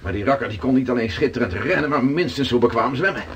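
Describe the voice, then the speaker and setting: Hearty sailor played by a man, radio play, middling pitch, faint noise and rumble. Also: lively intonation, quick pace, highs cut off, lightly acted. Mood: earnest storytelling. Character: hearty seafaring sailor home from an Arctic voyage. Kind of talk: Dutch radio drama acting. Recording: noisy radio play recording with low rumble.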